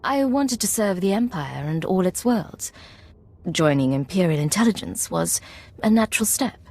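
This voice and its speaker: Female imperial agent: lower register, cool, measured, resolute. Game dialogue. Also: animated, a little mellow. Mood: determined. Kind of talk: video game dialogue acting.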